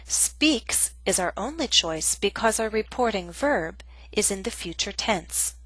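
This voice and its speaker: A woman teaching an English grammar lesson in a clear, measured, scripted way. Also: mid-range voice, animated, fairly bright tone, no echo.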